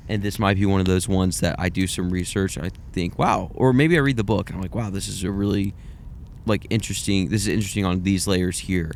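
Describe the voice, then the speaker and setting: Young man, podcast co-host, low voice, rambling, self-deprecating, faint background rumble. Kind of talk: casual film review chat.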